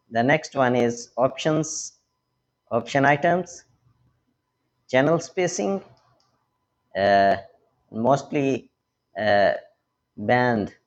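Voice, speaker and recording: A man presenting a hands-on, step-by-step technical tutorial, speaking instructionally in short, halting phrases. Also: mid-range voice, pushed and bright. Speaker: tech tutorial host.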